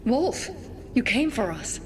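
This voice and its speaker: A woman's voice: on the high side, sing-song, takes it slowly, a little hiss or hum. An actress playing a desperate, devoted elven woman in a video game, pleading reverently. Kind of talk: dramatic video game acting.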